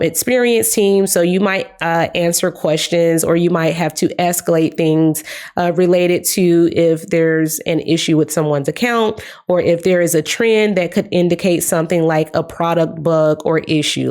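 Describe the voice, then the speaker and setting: A young woman talks to her viewers in a casual, upbeat vlog, her voice sitting a little low. She is hoarse and her voice is giving out, but she keeps chatting and calls her viewers "guys.". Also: darkish tone. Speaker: career advice vlogger. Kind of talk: casual talk to camera.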